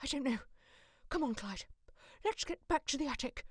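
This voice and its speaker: A woman playing a brave female leader defending Earth in an audio drama, emphatic and briskly authoritative. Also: high voice, expressive, noisy background. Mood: commanding.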